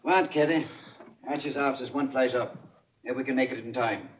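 An actor playing a brisk, urgent police officer in a 1940s radio drama. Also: male voice, medium pitch, fairly even intonation, muffled recording, a little hiss or hum, natural voice. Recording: distant old radio pickup, faint music.

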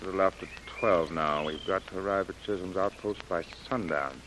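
An actor playing a commanding male expedition leader who gives crisp orders in old radio acting. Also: sits low, brisk, noisy background, natural voice.

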